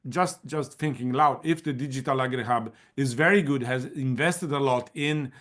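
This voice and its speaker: A man's voice: medium pitch, normal speed, a bit bright. Man thinking aloud on a panel. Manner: thinking aloud with frequent repetitions. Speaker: panelist leading a community of practice. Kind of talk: panel discussion answer.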